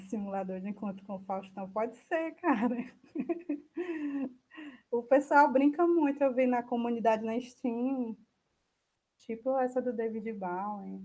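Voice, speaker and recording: A woman with a fairly high voice and a Brazilian accent, speaking informally as the presenter of an online talk. She sounds unassuming and slightly hesitant as she answers in a casual, conversational way. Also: slow, a little mellow, poor audio.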